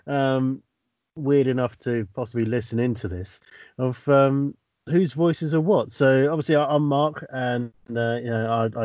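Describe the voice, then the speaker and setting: A man hosts a casual comedy podcast, stumbling along with fillers and pauses. His voice comes through dull and lo-fi, dry and close. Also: mid-range voice, a little mellow, no echo. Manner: hesitant, lots of uhs. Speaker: podcast host.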